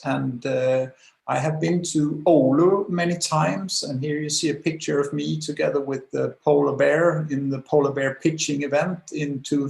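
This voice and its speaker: A man's voice: on the high side, vocal fry, roomy sound. A middle-aged man from Denmark, a designer, presenting slides over a video call with many hesitant fillers. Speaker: guest presenter, automotive designer.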